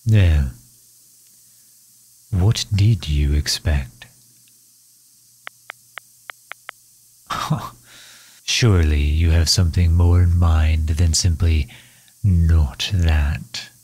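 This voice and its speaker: A man's voice: extremely low pitch, steady tone, drawn-out words, long pauses, darkish tone, a little hiss or hum, heavily acted, poor audio. A man playing a refined, courteous villain and inventor in an intimate audio roleplay, speaking formally and solicitously, as if musing aloud. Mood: amused, coaxing. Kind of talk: intimate audio roleplay, ASMR-style acting.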